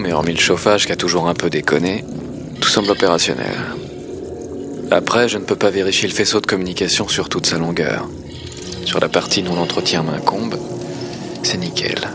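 A man playing an isolated deep-sea station keeper, speaking a subdued, measured logbook monologue in an audio drama. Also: gravelly, very noisy. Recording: music behind the voice.

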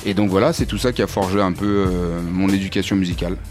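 A man's voice: low voice, steady tone, fast, a little mellow, soft background music. A man speaking as a studio guest in a casual interview, informal and slangy in an easygoing way. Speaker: guest, rapper. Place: radio studio. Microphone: studio microphone with headphones.

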